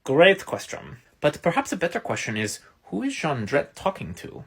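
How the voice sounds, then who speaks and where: A voice actor playing a wry, teasing young man who talks quickly and bosses his friend. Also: medium pitch, huge pitch swings, a bit bright, breathy edge, exaggerated voice.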